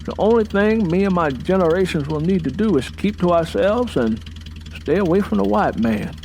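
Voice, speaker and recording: A man's voice: high-pitched, lively intonation, moderate pace, a little mellow, slight background noise, voice pushed up. A man voicing a domineering, hard-drinking farmer husband in an audiobook, with an emphatic, folksy, preaching manner. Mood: dreamy, stubborn. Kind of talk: dramatized audiobook character dialogue. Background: music bed.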